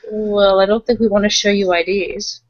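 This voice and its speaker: A woman's voice: medium pitch, flat delivery, moderate pace, no room sound. Woman tabletop player, casual improvised delivery, mid-range voice, slightly dull audio. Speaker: roleplaying game player. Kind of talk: casual improvised roleplay chat.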